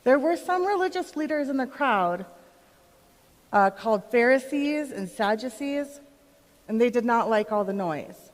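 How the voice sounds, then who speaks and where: A woman playing an excited eyewitness to Jesus, telling her story directly to listeners in a live church drama. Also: on the high side, measured pace. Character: excited woman of Bethany, Lazarus's sister, witnessing Jesus. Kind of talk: dramatic monologue in a church performance. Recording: reverberant hall.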